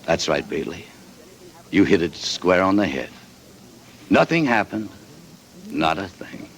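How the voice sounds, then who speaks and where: A brooding grieving adventurer. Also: male voice, sits low, very animated, a little hiss or hum, full character voice. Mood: weary. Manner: slow, brooding first-person narration. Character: guilt-stricken male adventurer grieving a lost love. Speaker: narrator and lead character. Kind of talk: 1940s radio drama acting.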